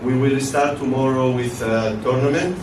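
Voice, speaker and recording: Halting man speaking in an echoey hall. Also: mid-range voice. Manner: halting, many fillers and restarts. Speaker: football league representative. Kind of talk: event speech. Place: hotel function room. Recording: echoey room from far off, noisy.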